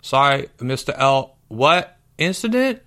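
A man's voice: medium pitch, big pitch swings, measured pace, bright, forceful tone. A man voicing a nervous, evasive schoolboy with a hesitant, stammering delivery. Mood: flustered, evasive.

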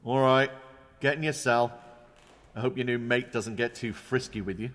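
An actor playing a sneering, bossy prison guard in an audio drama. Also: male voice, medium pitch, steady tone, moderate pace, natural voice. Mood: mocking. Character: mocking, gruff male prison guard. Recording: long hall reverb, noisy.

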